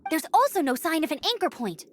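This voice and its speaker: A woman's voice: high voice, big pitch swings, quick pace, slight background noise. A woman voicing a plucky, bold teenage girl knight scout in a video game, spirited and emphatic. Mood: frustrated.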